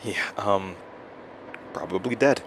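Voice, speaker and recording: An actor in an audio drama playing a rattled, self-doubting man who records a halting, stammering journal, talking to himself. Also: fairly low pitch. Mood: haunted, confiding. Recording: steady noise, like inside a moving car.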